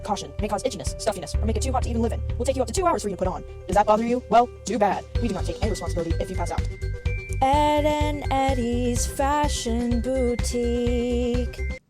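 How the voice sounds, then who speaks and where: A woman's voice: on the high side, quick pace, music in the background, close to an everyday voice. A woman acting as a snobbish boutique announcer in a parody radio commercial, salesy, flippant and haughty. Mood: brisk, flippant. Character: brash, snobbish fashion boutique advertiser.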